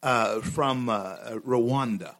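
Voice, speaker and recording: A man's voice: medium pitch, drawn-out words, a bit bright. A man hosting a talk show, interviewing a guest with emphatic, rising swells of delivery.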